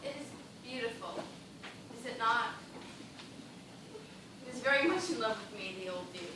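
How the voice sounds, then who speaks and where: A woman's voice: a little high, expressive, normal speed, lightly acted. A woman acting a vain, desperate dancer dying of thirst in an amateur stage play, with melodramatic, pleading, formal diction. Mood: wistful, dreamy. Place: indoor theatre stage, recorded from the audience distance. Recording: echoey noisy hall from far off.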